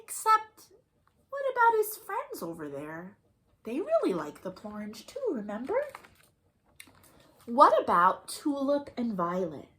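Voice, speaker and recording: A woman's voice: on the high side, wildly swooping pitch, measured pace, pauses often, a bit bright, slightly rough. A woman leading a children's storytime, speaking expressively and encouragingly in simple, sing-song phrases for young listeners. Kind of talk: animated children's storytime, reading, explaining and singing to young children.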